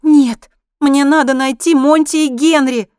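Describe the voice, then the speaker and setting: A woman's voice: high-pitched, racing, no room sound, clean studio sound, voice pushed up, close to an everyday voice. A woman voicing a desperate, headstrong woman on the run, insistent and urgent, in an audiobook. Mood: urgent, stubborn. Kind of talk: acted audiobook dialogue.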